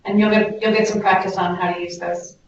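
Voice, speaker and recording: A woman's voice: lowish voice, flat delivery, brisk. A woman informally moderating a group call, explaining things and putting questions to the others. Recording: distant, echoey, dull, hissy conference call.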